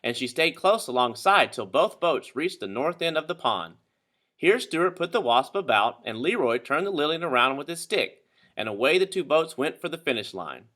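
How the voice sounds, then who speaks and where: A man narrates a children's story with dramatic flair. His voice is bright and forceful, fairly high, and sweeps up and down expressively. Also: moderate pace. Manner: dramatic, animated. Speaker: storybook reader.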